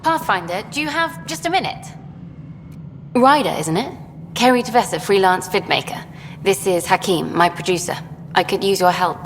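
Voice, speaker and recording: An actress playing an eager, idealistic documentary journalist in a video game, brisk and frank. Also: female voice, medium pitch, lightly acted. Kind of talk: video game dialogue acting. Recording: long hall reverb, faint noise.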